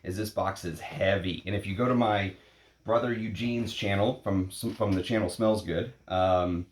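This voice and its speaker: A man in his late thirties hosting a perfume vlog, talking casually in a rambling, opinionated way, blunt and quick to swear. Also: sits low, steady tone, slight background noise. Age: 30s. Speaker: perfume channel host. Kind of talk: casual unscripted vlog talk. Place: at home in Texas.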